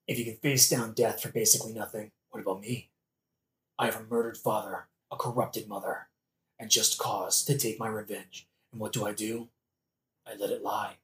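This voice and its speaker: A man's voice: fairly low pitch, little pitch movement, normal speed, bright, forceful tone. A man playing a brooding, bitter young prince in an intense soliloquy for an audio play. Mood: stirred admiration. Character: brooding young prince, bitter, self-reproaching, bent on revenge. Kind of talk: satirical modern audio play acting. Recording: echoey room.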